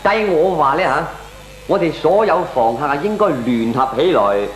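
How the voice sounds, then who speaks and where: An actor playing a cheeky, smooth-talking male tenant with a Hong Kong Cantonese accent in a comic radio drama. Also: a little high, animated, normal speed, reverberant, a little hiss or hum, natural voice, low-quality recording. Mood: persuasive. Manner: persuasive, placating.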